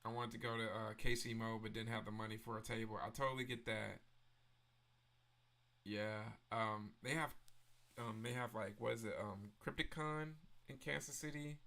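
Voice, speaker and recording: A man's voice: lowish voice, steady tone, moderate pace, creaky, sharp tone. A man from Memphis, hosting a live stream and chatting casually as he answers viewers' messages. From Memphis, Tennessee. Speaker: livestream host.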